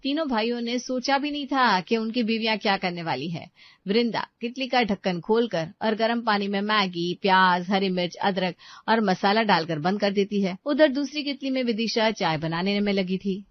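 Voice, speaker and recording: A woman narrating a moral story in a smooth, scripted storytelling way. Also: medium pitch, moderate pace, no echo. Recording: dull dry voice-over.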